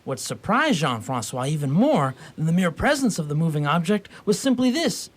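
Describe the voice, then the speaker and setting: A man playing a wry storyteller, narrating a scripted radio theatre story in a measured way. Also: on the high side, very animated. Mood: wry narration.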